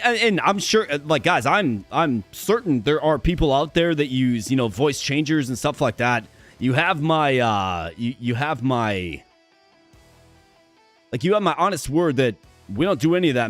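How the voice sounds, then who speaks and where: Upbeat chatty man with a stutter. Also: a little high. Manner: upbeat, chatty, addresses viewers directly; stutters sometimes, repeats words. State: strained, worn voice. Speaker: gaming streamer. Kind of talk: casual live-stream commentary.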